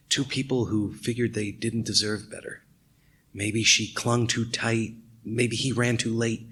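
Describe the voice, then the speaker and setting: A man playing a brooding, philosophical detective in a noir radio mystery, speaking in a deliberate, musing way. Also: medium pitch, pushed and bright, slight background noise.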